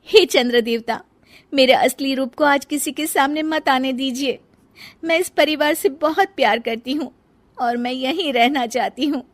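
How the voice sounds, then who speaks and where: A woman's voice: high-pitched, normal speed, voice pushed up, lightly acted. A woman voicing an anxious, meek young daughter-in-law with a secret in a family story, pleading and deferential. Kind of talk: dramatized Hindi family storybook acting.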